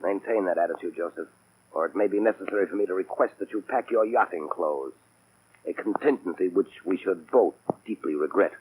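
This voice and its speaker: A man's voice: sits low, brisk, darkish tone, husky. An actor playing a suave, coldly menacing male pirate villain in an old radio drama, with silky, formal threats. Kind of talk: 1930s-40s radio drama acting. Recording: muffled, narrow old radio recording.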